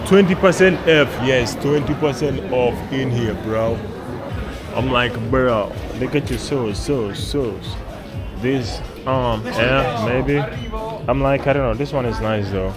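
Man vlogger, casual rambling mall commentary, mid pitch, over loud music. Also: slow pace. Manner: chatty, rambling, talks to himself and passersby. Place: indoor shopping mall.

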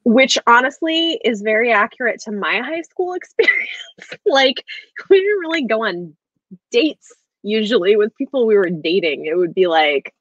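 A woman's voice: fairly high pitch, expressive, moderate pace, fairly bright tone, no room sound. A woman in her thirties in a casual book discussion on a video call, thinking aloud in a hesitant, rambling way. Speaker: book club livestream host. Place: indoor room at home, on a video call. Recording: slightly dull video-call audio.

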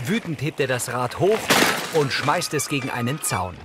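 A man's voice: mid-range voice, animated, normal speed. Neutral male narrator over music. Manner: neutral, factual. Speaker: audio description narrator. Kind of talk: scripted narration, present-tense scene description. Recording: music behind the voice.

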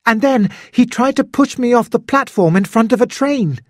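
A man's voice: very high voice, no echo, clean studio sound, voice pushed up. A man voicing a nervous young Japanese man. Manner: halting, pleading.

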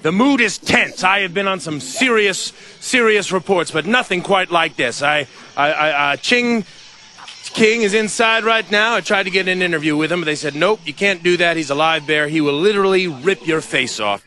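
An actor playing an earnest, melodramatic 1970s field reporter, halting and grave. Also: male voice, high-pitched, pushed and bright, a little hiss or hum. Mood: tense, earnest.

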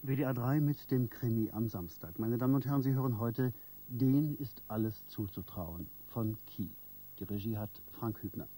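A man making a formal, scripted radio announcement in a measured manner. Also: mid-range voice, dark tone, slightly rough.

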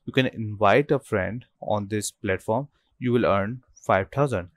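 A man hosting an informal software tutorial, talking through each step in a halting way with habitual filler phrases. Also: on the low side, no echo, studio quality. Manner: halting, repeats filler phrases like obviously and you know. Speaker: tutorial host.

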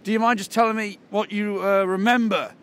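A man in his twenties acting as a flustered police detective, asking halting, repetitive questions in an improvised comedy film. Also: sits high, fairly even intonation, fairly bright tone. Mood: awkward questioning. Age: 20s. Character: flustered male police detective investigating murders. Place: outdoors by a rocky seafront. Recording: noisy outdoor camera audio.

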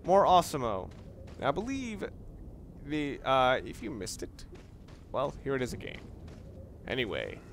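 A man's voice: mid-range voice, big pitch swings, measured pace, pauses often. A man giving casual, rambling gameplay commentary as a let's play gamer, with wry, sarcastic asides. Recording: noisy, game sounds behind. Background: video game ambience.

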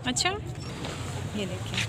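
A woman vlog host chatting and bargaining while she films herself shopping at a busy outdoor market, friendly and teasing. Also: unhurried. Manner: friendly and teasing, asks prices. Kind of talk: casual chat and bargaining while filming. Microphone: handheld phone camera. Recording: phone recording in a noisy market.

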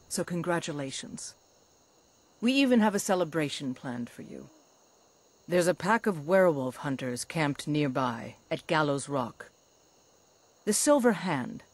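A woman's voice: sits low, animated, measured pace. Fierce werewolf warrior woman, blunt n proud, confident, fantasy video game dialogue. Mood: confident, grim relish. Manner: blunt, confident, scornful of cowards. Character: fierce, proud huntress warrior, werewolf loyal to her pack.

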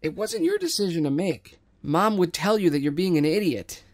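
A man's voice: high-pitched, wildly swooping pitch, moderate pace, gravelly, a little mellow, no room sound, clean studio sound, full character voice. A man playing an exasperated, money-minded son berating his father in a science fiction audio drama. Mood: indignant. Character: indignant, scolding son of a scavenger, money-minded.

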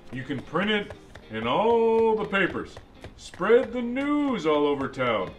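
A man's voice: high-pitched, very animated, slow, highs cut off, voice pushed up, heavily acted. A man voicing a jolly old Santa Claus who reads a picture book to kids in a chatty, exclaiming way. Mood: playful, insistent. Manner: exclaiming, chatty asides between lines. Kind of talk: animated storybook read-aloud. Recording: music behind the voice.

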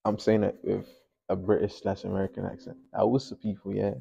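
Laid-back gravelly young man. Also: lowish voice, completely flat delivery, fairly quick, mellow, dull audio. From Nigeria. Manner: laid-back, mumbles to himself while working. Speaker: painter and host. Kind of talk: casual chat while painting. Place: small home art studio.

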